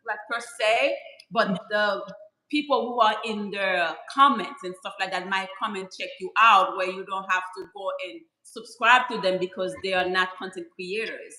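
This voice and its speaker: A woman's voice: mid-range voice, sharp tone. A woman from Haiti, a guest YouTuber, chatting casually in a rambling way full of fillers over a video call. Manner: rambling, full of "like" and "you know". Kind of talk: casual live-stream chat. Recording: echoey room with faint hiss.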